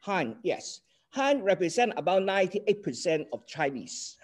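Older man, Hong Kong accent, higher voice. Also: big pitch swings, measured pace. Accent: Hong Kong Cantonese. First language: Cantonese. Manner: earnest, explanatory, checks in with "okay?". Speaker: lecturer. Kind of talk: online class lecture. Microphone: video call.

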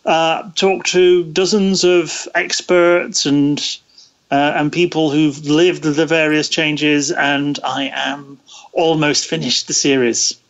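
A man giving thoughtful interview answers, halting as he searches for words. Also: on the high side, little pitch movement, muffled recording. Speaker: interview guest, radio series producer.

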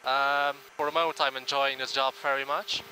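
A young man in his early twenties with a very bright, forceful voice at a middle pitch. He speaks informally and earnestly to camera as an airline pilot, pausing and hesitating often. A faint engine hum fills the cockpit around him. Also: flat delivery, low-quality recording. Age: early 20s. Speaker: airline first officer. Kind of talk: informal talk to camera about his job. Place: small airliner cockpit in flight.

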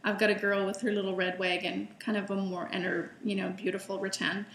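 A woman's voice: mid-range voice, level tone, a little fast, fairly bright tone, echoey room, slight background noise, poor audio. A middle-aged woman telling a personal story to camera in a chatty, enthusiastic way, with fillers and restarts. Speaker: illustrator and food vlogger.

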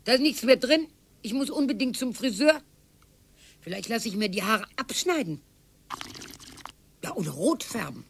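An actress playing a sharp-tongued retired wife over sixty in a radio play, sarcastic and theatrical. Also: female voice, on the high side, very animated, moderate pace, creaky, pauses often, husky, full character voice. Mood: demanding. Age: older. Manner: sarcastic and theatrical, swings from loud to whispered.

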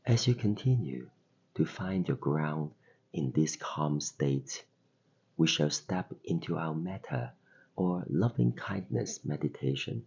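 Very deep-voiced man guiding meditation slowly. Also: animated, vocal fry, husky, dull audio. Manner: gentle, soothing, deliberate phrasing with pauses. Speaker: meditation guide and podcast host. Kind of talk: guided meditation narration.

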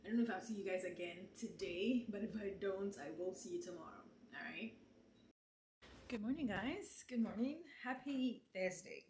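A woman in her twenties vlogging casually at home, talking to viewers with frequent laughs and animated asides, filmed on a handheld camera. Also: mid-range voice, normal speed, echoey room, slight background noise. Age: 20s. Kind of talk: casual vlog chat. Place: small apartment kitchen and bedroom indoors. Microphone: handheld camera at arm's length.

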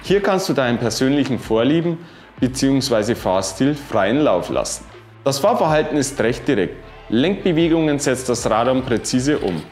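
A man in his thirties giving a scripted bike review, reading specifications in an even, informative way. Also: medium pitch, moderate pace. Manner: even, informative delivery dense with specs. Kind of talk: scripted product review narration. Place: wooden-walled workshop room, indoors. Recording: roomy sound with faint music.

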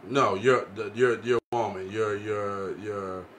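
A man's voice: fairly low pitch, steady tone, takes it slowly, a bit bright. An American man hosting a casual panel podcast in an easygoing, conversational style. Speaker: podcast host. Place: indoor podcast table with several men. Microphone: studio microphone on boom arm. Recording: noticeable background noise, room ambience.

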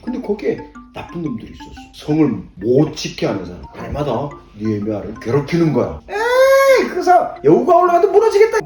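Older male storyteller, grandfatherly pastor, animated and mellow, explaining simply to kids; echoey room. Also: medium pitch. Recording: roomy echo, distant mic, faint music.

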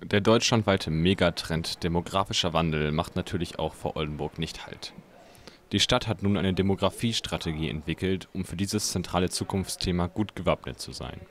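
A man's voice: low-pitched, moderate pace, a little hiss or hum. A man reading scripted narration as a news reporter.

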